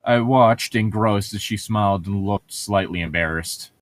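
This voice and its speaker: A man's voice: fairly low pitch, unhurried, no room sound. A man co-hosting a casual read-aloud, throwing in sarcastic asides and laughing often. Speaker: co-host of a humorous read-aloud commentary.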